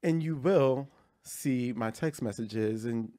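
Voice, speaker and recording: A man's voice: medium pitch, takes it slowly, mellow, no room sound, clean studio sound. A man with an American accent co-hosting a podcast in casual banter, with a sassy, campy, slangy delivery. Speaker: podcast co-host.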